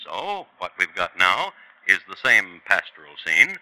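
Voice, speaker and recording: An actor playing a wry crime-story narrator, dry, clipped and sardonic, in scripted 1950s radio style. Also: male voice, sits low, very animated, unhurried, sharp tone, exaggerated voice, rough recording. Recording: old radio recording, faint noise.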